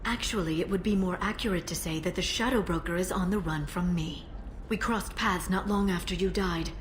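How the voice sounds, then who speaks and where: Measured actress as a determined female broker. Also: fairly low pitch, lightly acted. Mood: earnest, guarded. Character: composed, determined female alien information broker. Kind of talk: scripted video game dialogue. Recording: noisy ambient background.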